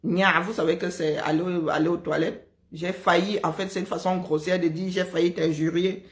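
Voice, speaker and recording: A woman from Cameroon with a Cameroonian French accent, chatting casually and telling lively stories, often breaking into laughter. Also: lowish voice, normal speed. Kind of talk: casual chat.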